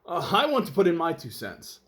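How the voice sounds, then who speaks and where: A man's voice: a little high, wildly swooping pitch, fairly quick, fairly bright tone, voice pushed up. A man voicing an indignant, pompous male town mayor in a children's storybook, with emphatic delivery. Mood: exasperated.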